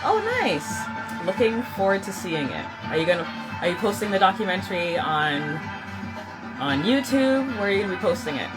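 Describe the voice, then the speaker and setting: A woman's voice: medium pitch, lively intonation, normal speed, over loud music, low-quality recording. A woman hosting a live podcast stream, upbeat and laughing often as she greets viewers and asks questions. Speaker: podcast host.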